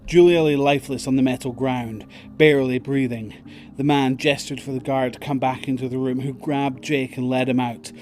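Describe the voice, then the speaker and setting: A man's voice: medium pitch, fairly even intonation, normal speed, darkish tone. A man reading scripted dramatic narration as the narrator of an audio drama. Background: prominent music.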